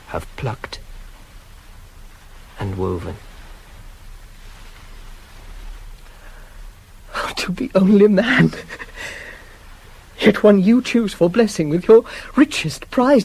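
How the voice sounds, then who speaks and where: Devout chaste young hunter, slow, reverent, incantatory, long pauses, classical radio play, faint tape hiss. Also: male voice, a little high, darkish tone, heavily acted, rough recording. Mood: rapturous yearning. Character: devout chaste young male hunter, rapt goddess worshipper. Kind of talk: classical verse radio drama. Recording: hissy 1980s cassette of radio play.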